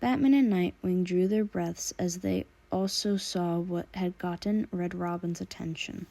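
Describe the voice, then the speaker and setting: A woman's voice: lowish voice, unhurried, vocal fry, warm tone. A woman reading fan fiction aloud as scripted audiobook narration.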